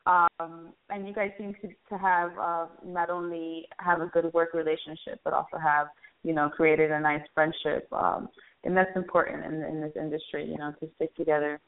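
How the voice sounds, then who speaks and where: A woman hosting a casual interview, speaking in a halting, conversational way. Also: sits low, little pitch movement. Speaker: interview host. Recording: tinny phone-like narrow band.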